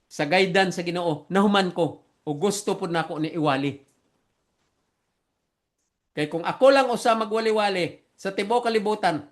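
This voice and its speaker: A man with a Filipino accent and a high, light voice for a man, teaching theology to an online audience. His first language is Visayan, and he works through plain English slowly and earnestly, often repeating himself. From Philippines. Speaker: preacher and theologian.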